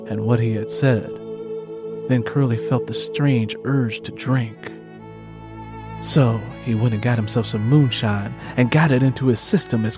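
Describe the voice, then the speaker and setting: Solemn male story narrator, mid pitch. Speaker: audiobook narrator. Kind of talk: scripted dramatic story narration.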